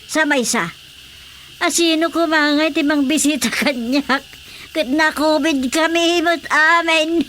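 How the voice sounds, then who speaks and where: A woman playing an excitable, complaining comic character in a Filipino radio drama, exclamatory and whining as she banters. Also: sits high, measured pace, a little hiss or hum.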